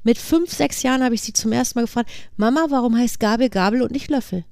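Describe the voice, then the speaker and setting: A woman co-hosting a casual podcast chat, talking in a lively, animated way and firing off questions. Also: fairly high pitch, fast, gravelly, dry recording, studio quality. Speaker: podcast co-host.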